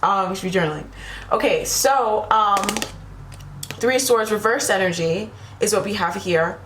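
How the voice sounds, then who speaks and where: A woman's voice: medium pitch, moderate pace, background noise. A woman giving a casual tarot reading to her viewers, talking in a rambling way with frequent "right?" asides while losing her voice. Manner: rambling, repeats "right?" and "you know?" to the viewer. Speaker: tarot reader. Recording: echoey room with faint ambience.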